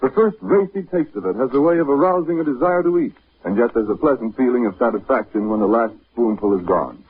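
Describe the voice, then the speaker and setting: A man's voice: medium pitch, expressive, slightly rough. Muffled old-time radio ad man. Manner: measured, persuasive salesmanship. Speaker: radio announcer. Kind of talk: old-time radio advertisement, scripted pitch.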